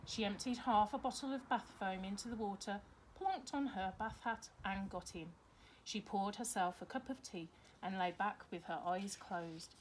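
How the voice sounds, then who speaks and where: A woman's voice: medium pitch, moderate pace, slight background noise, low-quality recording. A woman reading a children's story aloud expressively, like a teacher to her pupils.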